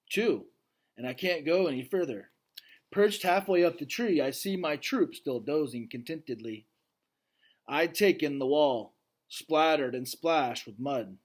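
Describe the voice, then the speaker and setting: A gentle, earnest gorilla from a children's storybook, as a man reads him aloud. The voice sits slightly high for a male and moves slowly, with hushed, dramatic phrasing and a wistful temper. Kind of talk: children's novel read aloud, first person.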